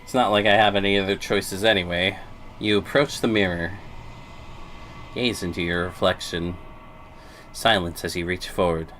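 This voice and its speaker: A man's voice: deep voice, fairly even intonation, moderate pace, pauses often, a bit bright, a little hiss or hum. A man livestreaming a game playthrough, reading choices and narration aloud. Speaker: game streamer.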